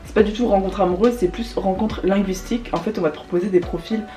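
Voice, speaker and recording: A woman's voice: mid-range voice, fast talker, dark tone, far from the mic. A young French woman chatting casually in a vlog, demonstrating apps, full of fillers, slang and asides. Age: young adult. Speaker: YouTuber recommending apps. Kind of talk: casual vlog chat with screen demo.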